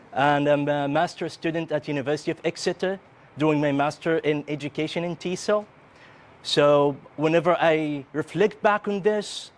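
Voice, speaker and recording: A man from Upper Egypt with an Egyptian accent, giving a prepared conference presentation. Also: on the high side. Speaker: conference presenter, English teacher.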